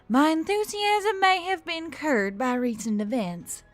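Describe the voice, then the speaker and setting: Woman acting a high, indignant, frazzled woman soldier. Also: unhurried, dry recording, soft background music. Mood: shaky sarcasm. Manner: exclamatory, indignant. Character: panicked, sarcastic human woman soldier in a helicopter.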